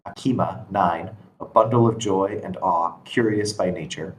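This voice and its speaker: A man's voice: low-pitched, moderate pace, low-quality recording. A man reading scripted stage directions as narrator at a screenplay table read. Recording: constant background noise.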